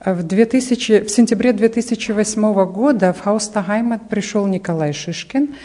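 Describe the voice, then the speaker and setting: Woman, fifties, spokesperson in interview: mellow voice, medium pitch, halting delivery as she hunts for words. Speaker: association press spokeswoman being interviewed. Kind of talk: interview answers. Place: indoor art gallery. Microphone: reporter's handheld microphone.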